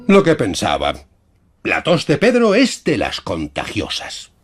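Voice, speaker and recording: A man's voice: lowish voice, unhurried, breathy edge, faint music behind, heavily acted. A man voicing a kindly, reassuring adult bear doctor in a preschool cartoon, with a Castilian Spanish accent. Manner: reassuring, expressive doctorly delivery. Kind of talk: dubbed preschool cartoon acting.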